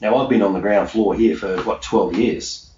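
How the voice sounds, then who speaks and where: A man's voice: lowish voice, normal speed, warm tone. A middle-aged man answering interview questions as a motivational coach, emphatic and self-assured, repeating key phrases for effect. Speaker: peak performance hypnosis coach being interviewed. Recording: echoey room, distant mic, dull sound, faint noise.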